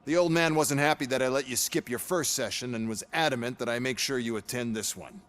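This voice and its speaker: A cynical corporate overseer acted by a man in a video game cutscene. His medium-pitched voice is condescending and sarcastic, with a bossy, threatening edge. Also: brisk, a bit bright, close to an everyday voice. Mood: smug, insistent. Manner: sarcastic, condescending, foul-mouthed.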